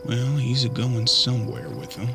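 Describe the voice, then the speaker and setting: An actor playing a young, inquisitive apprentice detective in a western audio drama, with a folksy, questioning manner. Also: male voice, mid-range voice, animated, moderate pace, creaky, over music. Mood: puzzled, observant.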